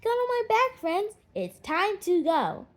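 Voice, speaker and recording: A woman voicing a gentle, helpful male eagle who speaks earnestly and unhurriedly in a children's storybook. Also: very high voice, huge pitch swings, a little slow, pitched above the performer's own voice, full character voice. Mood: cheerful.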